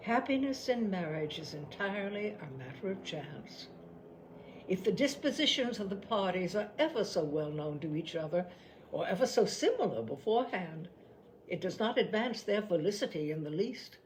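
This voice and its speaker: Pragmatic young period gentlewoman played by a female narrator, opinionated, formal, lively intonation, for a storybook reading. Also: mid-range voice, a little hiss or hum, natural voice, low-quality recording. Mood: firm, philosophical. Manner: opinionated, formal, measured phrasing. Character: pragmatic, worldly young Regency gentlewoman advising a friend.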